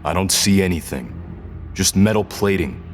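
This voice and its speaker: An actor playing a defiant, disoriented prisoner in an audio drama, a very deep-voiced man who is guarded, halting and stubborn. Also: expressive, slight background noise. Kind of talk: scripted audio drama dialogue.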